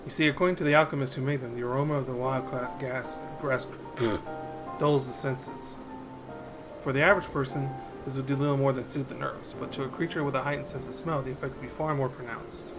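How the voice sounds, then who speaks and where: Earnest fantasy game officer, read by a man, mid-pitched, polite and orderly briefing, music clearly behind. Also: steady tone, brisk, natural voice, poor audio. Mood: earnest, explanatory. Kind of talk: game dialogue read aloud.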